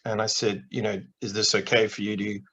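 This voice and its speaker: A middle-aged man from Zimbabwe on a video call, explaining his work in a measured way with frequent restarts. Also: lowish voice, level tone, gravelly, bright, forceful tone, breathy edge. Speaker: investment manager guest. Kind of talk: interview answers on a video call. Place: home office with plain wall. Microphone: webcam on video call.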